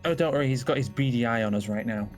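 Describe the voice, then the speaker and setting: Man playing a cheeky survivor, mid-pitched. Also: quick pace, close to an everyday voice. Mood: flippant. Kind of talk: improvised video game roleplay. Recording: background music.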